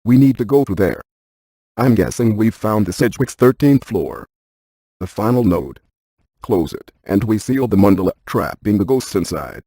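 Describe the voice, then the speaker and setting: Deadpan, mellow-voiced male ghost hunter scientist. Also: on the low side, normal speed, husky, no echo. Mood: matter-of-fact, explanatory. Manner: deadpan, precise, technical. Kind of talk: scripted video game character dialogue.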